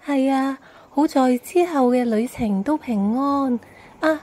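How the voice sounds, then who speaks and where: A woman's voice: sits high, slow pace, darkish tone. A woman voicing a chatty, relieved townsperson sharing news in an amateur radio drama. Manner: chatty, gossipy.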